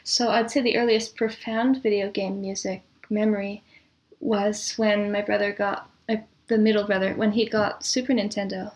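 A woman speaking as an interview guest in casual, reminiscing conversation, with a thoughtful, measured way of talking. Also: medium pitch, level tone, a little mellow. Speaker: interview guest, composer and audio drama producer.

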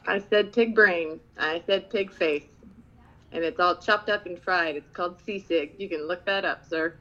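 A woman from the Philippines talks in a bright, forceful voice as a talk show co-host. She sounds light and giggly and hesitates with fillers. The recording is muffled and dull. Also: on the low side, normal speed. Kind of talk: casual talk show chat.

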